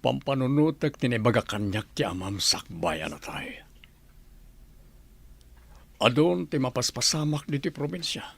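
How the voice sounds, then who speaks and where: Radio drama role: principled middle-aged father and soldier, creaky voice, dry close recording. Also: mid-range voice, big pitch swings, measured pace, pauses often, no echo, full character voice. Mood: grave. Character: earnest father and soldier set on entering politics.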